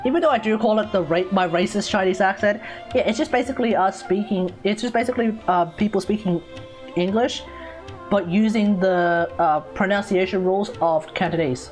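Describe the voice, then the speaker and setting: A woman's voice: medium pitch, a little fast, a little mellow, soft background music. A young woman with an Australian accent, chatting loosely as she streams a game, rambling and repeating herself. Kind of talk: casual livestream chat while gaming.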